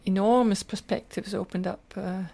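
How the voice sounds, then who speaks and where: A woman's voice: mid-range voice, steady tone, normal speed, gravelly, mellow. An older woman with a Scottish accent, telling personal stories in an earnest, detailed way as an interview guest. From Scotland. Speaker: podcast guest, teacher and lecturer.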